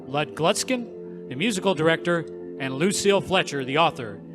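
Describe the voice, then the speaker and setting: An actor playing an old-time radio narrator, delivering a smooth, ceremonious sign-off with credits. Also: male voice, fairly high pitch, expressive, moderate pace, music in the background, lightly acted. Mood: announcing. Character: suave male radio show narrator. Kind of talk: 1940s radio announcing.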